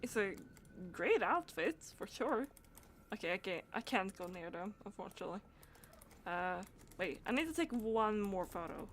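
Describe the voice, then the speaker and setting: A woman's voice: medium pitch, animated, moderate pace, a little hiss or hum. A woman doing casual live game commentary, rambling and giggly as she reacts aloud to what happens on screen. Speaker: game streamer.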